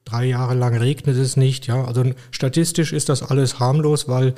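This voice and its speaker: Thoughtful middle-aged man, mellow voice. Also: mid-range voice, little pitch movement, moderate pace. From Koblenz, Germany. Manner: thoughtful, many hesitations. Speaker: podcast guest, physician. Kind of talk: casual interview talk.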